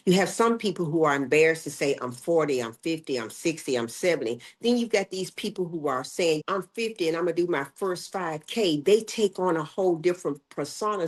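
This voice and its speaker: Older woman, health educator guest, low breathy voice, emphatic and lively. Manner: emphatic, acts out quoted voices. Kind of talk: conversational interview.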